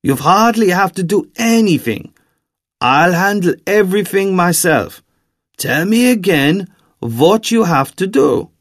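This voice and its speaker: Audiobook villain, played by a male narrator: soft German accent, persuasive and deliberate, lively swoops, very dry sound. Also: high-pitched, no echo, voice pushed up. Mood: impatient, insistent. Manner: coaxing, theatrical, deliberate. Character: tall, sly villainous man, calm, persuasive, sinisterly courteous.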